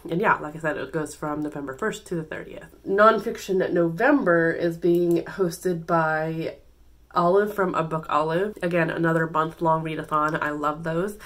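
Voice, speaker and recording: A woman's voice: low voice, moderate pace, a bit bright. A woman hosting her own book channel, talking casually about her reading plans while still congested from a cold, with frequent ums and self-corrections. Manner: chatty, lots of ums, restarts herself.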